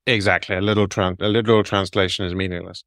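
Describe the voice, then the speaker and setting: A middle-aged man answering interview questions in a measured, explanatory way, laying out his advice point by point. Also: sits low, flat delivery, a bit bright, no room sound, clean studio sound. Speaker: guest, medical translator.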